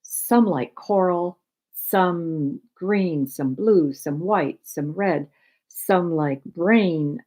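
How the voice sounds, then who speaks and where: An older American woman with a low voice talks informally as a spiritual teacher. She takes her time, moving through her thoughts in a reflective, deliberate way with halting phrases. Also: warm tone. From United States, raised in Michigan. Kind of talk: informal spiritual talk with personal anecdotes.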